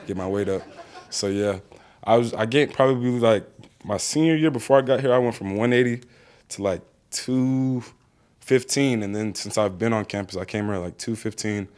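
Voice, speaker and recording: A man's voice: on the low side, brisk, darkish tone. A young American man and college football player, answering press questions in a casual, plainspoken way with many fillers. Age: young adult.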